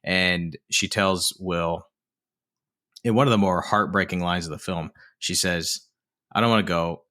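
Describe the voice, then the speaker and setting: A man's voice: low voice, steady tone, measured pace, bright, forceful tone. A young man co-hosting a film podcast, talking casually and thoughtfully with frequent restarts. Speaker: podcast co-host.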